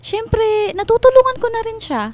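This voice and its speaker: An actress playing a chirpy, ingratiating and subtly possessive family friend in a radio drama, with a breezy, over-sweet manner. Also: female voice, extremely high pitch, normal speed. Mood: sweet, reassuring.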